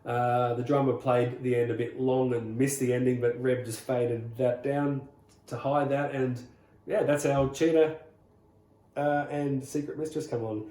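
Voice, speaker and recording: A man's voice: mid-range voice, unhurried. Roomy-sounding Australian man telling stories. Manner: rambling, relaxed, many "uh"s and restarts. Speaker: rockabilly singer, guest. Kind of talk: casual interview storytelling.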